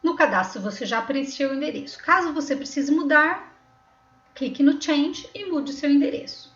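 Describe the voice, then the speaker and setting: A Brazilian woman with a São Paulo accent and a high voice talks patiently through a screen tutorial, step by step. She often pauses to check that the viewer is keeping up. Her voice sounds roomy and a little dull, with a faint hiss behind it. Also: lively intonation, fairly bright tone. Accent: Brazilian Portuguese, São Paulo. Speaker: tutorial host. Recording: roomy, slightly dull recording.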